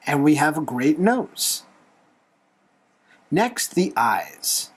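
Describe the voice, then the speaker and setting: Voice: medium pitch, sing-song, extremely slow, breathy edge. A tutorial host talking through a drawing step by step in a playful, encouraging manner. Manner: playful, light humour, encouraging.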